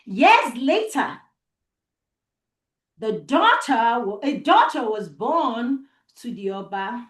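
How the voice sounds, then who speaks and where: Slow, high-pitched Nigerian woman storyteller. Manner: deliberate, expressive, with dramatic pauses and repetition. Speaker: children's storytelling host. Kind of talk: live interactive storytelling for children. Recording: roomy, echoey sound.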